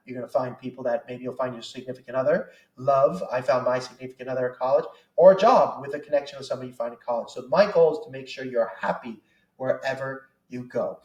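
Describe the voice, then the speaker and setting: A young man who works as a college soccer recruiting advisor gives a casual, persuasive sales pitch, talking in a chatty way with lots of fillers. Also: a little mellow. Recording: echoey room, distant mic.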